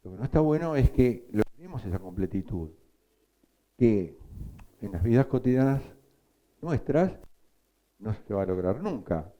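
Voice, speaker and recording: A man teaching a psychoanalysis class, explaining slowly and quizzing his students. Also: lowish voice, big pitch swings, warm tone, poor audio. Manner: slow, explanatory, asks the class questions. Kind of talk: lecture.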